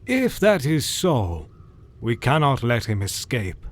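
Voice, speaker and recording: An actor playing an old male vampire-hunting professor who speaks in a measured, calm, grave manner in an audio drama. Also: mid-range voice, full character voice. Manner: slow, measured hypnotist's cadence. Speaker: Doctor Van Helsing.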